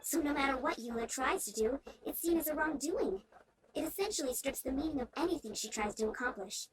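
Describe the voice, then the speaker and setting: A woman voicing a gentle, earnest young townswoman with polite, measured speech in a video game. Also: high-pitched, animated, crowd noise, low-quality recording. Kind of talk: scripted video game character acting.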